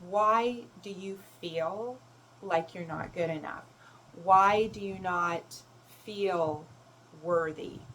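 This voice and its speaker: A woman whose was German, speaking as a self-love coach in a gentle, reflective talk. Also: lowish voice, takes it slowly, a little hiss or hum, low-quality recording. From Canada. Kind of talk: reflective solo talk to camera.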